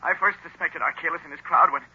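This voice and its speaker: An actor playing a troubled, earnest army lieutenant who speaks haltingly in a 1930s radio drama. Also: male voice, a little high, sharp tone, breathy edge, exaggerated voice. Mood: earnest, urgent. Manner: halting, repeating words. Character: troubled army lieutenant freed from a hypnotic spell, earnest man. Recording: muffled old 1930s radio recording.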